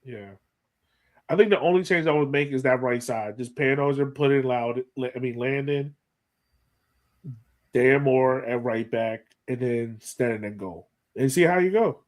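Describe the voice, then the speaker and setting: A man's voice: mid-range voice, a little slow. A man co-hosting a sports podcast, talking casually and thinking aloud as he gives his opinions on soccer. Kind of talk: casual soccer analysis chat.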